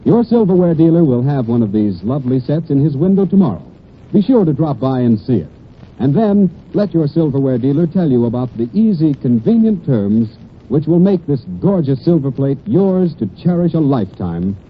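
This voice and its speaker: A man's voice: on the high side, big pitch swings, normal speed. A man reading a scripted 1940s radio commercial as an announcer, earnest and persuasive, with careful phrasing. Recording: dull, noisy 1940s radio broadcast.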